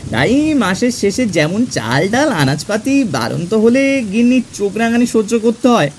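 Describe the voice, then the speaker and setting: A man playing a genial middle-class clerk who narrates his own tale in an expressive, theatrical audio drama style. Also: sits high, normal speed, slight background noise. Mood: genial, teasing. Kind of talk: dramatised first-person audio story narration. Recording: music under the voice.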